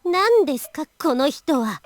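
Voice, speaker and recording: High, animated anime schoolgirl. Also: fairly quick. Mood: indignant. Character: anime high-school girls, youthful and expressive. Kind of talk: Japanese visual novel voice acting. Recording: prominent game music behind dialogue.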